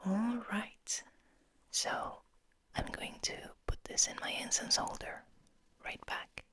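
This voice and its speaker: A woman hosting ASMR in a breathy whisper, speaking in a soft, soothing, unhurried manner. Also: medium pitch, fairly even intonation. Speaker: ASMR host.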